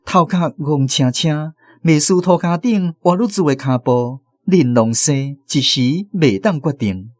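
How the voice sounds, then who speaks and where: A dramatic storyteller narrating a radio play. The pitch moves around a lot and the recording is dry, with an expressive delivery. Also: a little slow, hardly any pauses, no echo.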